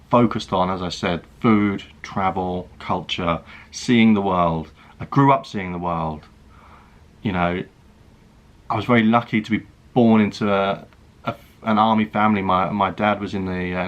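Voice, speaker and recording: A British man in his thirties, a food and travel vlogger, talking earnestly and reflectively to camera, with many halting restarts. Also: on the low side, muffled recording. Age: 30s. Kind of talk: earnest personal talk to camera.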